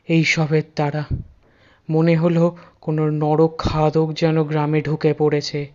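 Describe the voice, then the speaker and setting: A horror story narrator speaks in a very monotone voice of middling pitch. The delivery leans on suspenseful pauses and repetition. The recording is dull and slightly muffled. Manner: dramatic pauses and repeated words for suspense.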